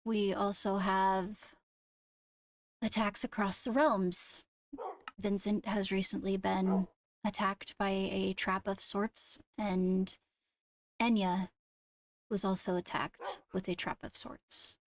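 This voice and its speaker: A woman playing a composed court leader in a Minecraft roleplay, speaking formally and haltingly as she gives a briefing. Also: medium pitch, little pitch movement, no room sound. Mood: measured, serious. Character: measured female court leader briefing on attacks. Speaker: roleplayer leading a court meeting.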